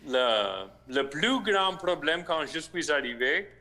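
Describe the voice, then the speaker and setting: A priest with an American accent and English as his first language, answering interview questions slowly and haltingly, with restarts. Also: on the high side, animated, pushed and bright. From United States (Missouri). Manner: slow, halting, with restarts and searched-for words. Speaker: rector of a shrine, priest.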